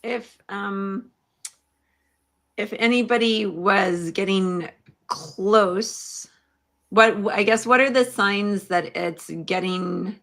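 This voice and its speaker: Lady interviewer, bright but creaky voice, feels her way slowly through questions. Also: medium pitch. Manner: tentative, halting phrasing while forming questions. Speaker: podcast host, interviewer.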